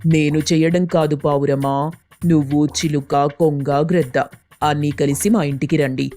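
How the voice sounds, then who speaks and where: A woman's voice: low-pitched, steady tone, measured pace, warm tone, faint music behind. A woman voicing a coaxing, complaining husband crow in a children's cartoon story. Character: affectionate, nagging husband crow craving hot fritters.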